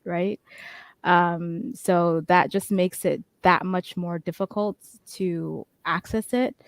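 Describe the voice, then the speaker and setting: Flat-voiced woman, dry close sound. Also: fairly low pitch, no room sound. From Canada. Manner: explanatory, detailed, frequent restarts. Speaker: panel guest. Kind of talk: panel discussion.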